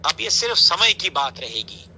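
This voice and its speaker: A man's voice: high-pitched, sing-song, moderate pace. A man with a Kashmiri accent giving a heated phone interview in an emphatic, accusatory, insistent manner. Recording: bright, noisy phone call recording.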